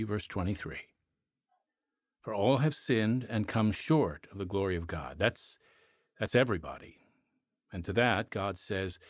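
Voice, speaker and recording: Deep husky gentle male pastor. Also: no room sound, clean studio sound. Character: gentle, earnest male pastor counselling a convert. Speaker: actor in a radio drama. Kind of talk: audio drama acting, reading scripture aloud.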